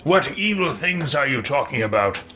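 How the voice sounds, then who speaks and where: A man voicing a plainspoken adventurer hero, direct and forceful, in a roleplayed video game. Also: medium pitch, big pitch swings, moderate pace, slight background noise. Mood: questioning.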